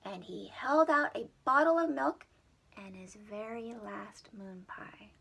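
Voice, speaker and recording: A woman reading a storybook to young children as a preschool storyteller, dramatic and expressive. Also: medium pitch, slow, gravelly, husky. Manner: dramatic, with whispered asides to young listeners.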